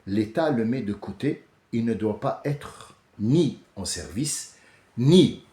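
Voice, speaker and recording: A French man hosting a casual monologue, animated and often restarting, rising to heated outbursts. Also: mid-range voice, slow. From France. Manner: many restarts and hesitations. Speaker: host. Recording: echoey room.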